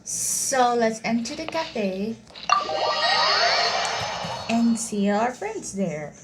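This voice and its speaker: A woman casually commenting on her game playthrough in a halting way, with frequent restarts and repeats. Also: a little high, expressive, background noise. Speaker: gameplay channel host. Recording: commentary over loud game music.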